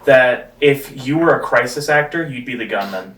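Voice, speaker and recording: A man's voice: mid-range voice, moderate pace, a bit bright, distant mic. A man trading casual comedic banter as a talk show co-host. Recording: echoey noisy room, off-mic.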